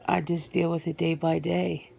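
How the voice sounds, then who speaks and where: A woman playing a guarded, earnest werewolf answering interview questions in an ASMR roleplay, speaking haltingly and matter-of-factly. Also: fairly low pitch, flat delivery, vocal fry, warm tone, husky, exaggerated voice. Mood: matter-of-fact, reflective. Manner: hesitant, matter-of-fact, halting with pauses.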